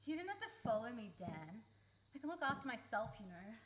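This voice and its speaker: A woman's voice: fairly high pitch, sing-song, a little fast. A woman acting a feisty, stubborn but vulnerable young Australian in a radio play, blunt and insistent. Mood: defiant, scornful. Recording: noisy live radio play recording.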